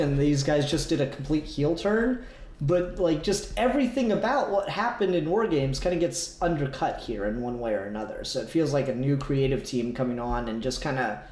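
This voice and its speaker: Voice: mid-range voice, darkish tone. A podcast co-host in casual comic book discussion, talking emphatically, repeating words and swearing. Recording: roomy, echoey sound.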